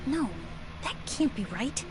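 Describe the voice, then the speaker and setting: A woman voicing a calm, analytical young woman investigator in a video game, reasoning aloud in a thoughtful way. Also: sits high, takes it slowly, over music. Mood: pensive. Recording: noisy game audio capture.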